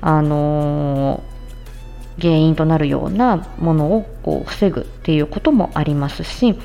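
A woman's voice: deep voice, takes it slowly, vocal fry, dark tone, soft background music. A woman giving an explanatory health talk in plain, conversational words, like a podcast host addressing listeners. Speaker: podcast host, speech-language therapist.

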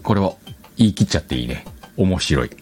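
A man's voice: deep voice, lively intonation, brisk, darkish tone, faint music behind. A man hosting a casual advice talk, explaining conversationally and questioning his own phrasing as he thinks aloud. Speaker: podcast host.

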